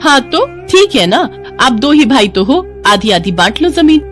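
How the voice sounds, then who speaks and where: A woman's voice: sits high, sing-song, a little slow, music in the background, pitched above the performer's own voice. A woman voicing a greedy, scheming sister-in-law in a moral story, pushy and complaining.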